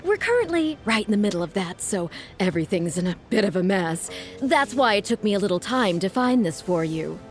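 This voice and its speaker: A woman's voice: medium pitch, sing-song, fairly quick, soft background music, lightly acted. A woman voicing a polite young female attendant in a video game, courteous and helpful. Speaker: game character.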